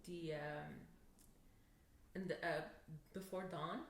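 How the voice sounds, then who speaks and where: A woman's voice: low-pitched, fairly even intonation, slow pace. A young woman co-hosting a podcast in casual chat, laughing easily and trailing off with fillers. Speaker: podcast co-host. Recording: echoey room.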